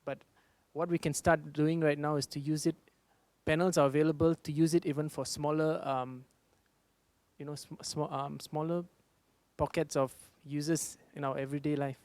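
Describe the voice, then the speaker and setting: A Singaporean man in his thirties speaks on a panel, pausing often with fillers as he answers. His voice sits fairly high for a man, and the recording is dry with little room sound. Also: flat delivery, darkish tone, no echo. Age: 30s. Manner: hesitant, many fillers.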